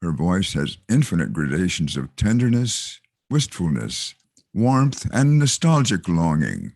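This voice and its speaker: A man with a fairly low voice reads scripted stage directions as a narrator. His delivery is very slow and theatrical, with wide swings of intonation. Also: big pitch swings, vocal fry, a little mellow, dry recording, clean studio sound. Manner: measured, theatrical.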